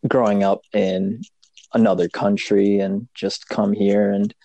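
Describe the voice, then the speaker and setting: Interview guest: man from Kenya, lowish voice, unhurried and easygoing, dry close recording. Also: level tone, dark tone, no room sound, muffled recording. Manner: easygoing, pausing to search for words. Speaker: podcast guest. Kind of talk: casual interview chat.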